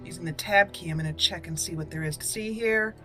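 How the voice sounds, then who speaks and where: A woman with a fairly low voice hosts a roleplay playthrough of a fantasy game. She gives commentary as she plays, with music very clear behind her voice. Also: fast talker, bright, forceful tone. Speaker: host of a gaming channel. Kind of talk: let's play commentary with roleplay.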